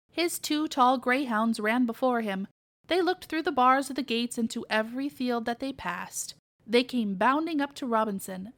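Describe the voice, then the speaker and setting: A woman's voice: fairly high pitch, a bit bright. A woman narrating a children's storybook as a read-aloud podcast reader.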